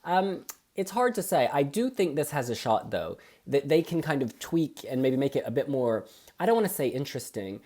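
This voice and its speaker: Woman, very deep voice, lively swooping delivery, casual co-host chat, opinionated. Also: normal speed. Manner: animated, chatty, opinionated.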